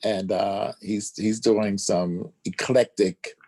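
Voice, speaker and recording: An older man chatting casually as an interview guest, often halting as he searches for words. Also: fairly low pitch, fairly even intonation, warm tone. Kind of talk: casual chat.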